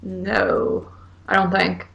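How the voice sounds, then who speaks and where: A woman's voice: mid-range voice, monotone, drawn-out words, gravelly, fairly bright tone, dull audio. A woman co-hosting a podcast, chatting casually with blunt, sweary, brief reactions. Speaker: podcast co-host. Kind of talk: casual chat.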